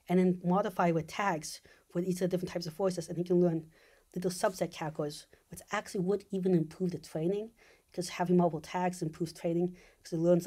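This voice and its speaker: A woman's voice: on the low side, mellow. A woman giving an informal explanatory monologue, thinking aloud as she explains technical ideas step by step. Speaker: video essayist.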